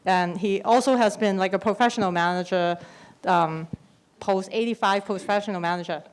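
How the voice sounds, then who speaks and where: A woman's voice: mid-range voice, moderate pace, a little hiss or hum. A woman moderating a conference panel, introducing each speaker with a playful tone and frequent ums. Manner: bright, playful, with fillers. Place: conference room.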